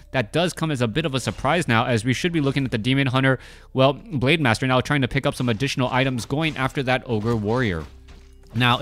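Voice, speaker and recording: A man's voice: medium pitch, a bit bright. A man in his thirties casting a strategy game match, talking casually with many fillers and restarts. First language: Cantonese. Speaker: game caster. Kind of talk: casual play-by-play commentary.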